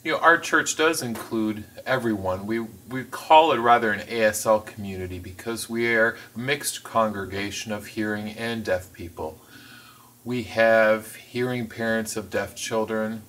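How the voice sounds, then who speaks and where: A man voicing a signed interview as interpreter, phrasing things in a measured, careful way with the occasional restart. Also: lowish voice, bright, forceful tone, recorded from a distance. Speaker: sign language voice interpreter.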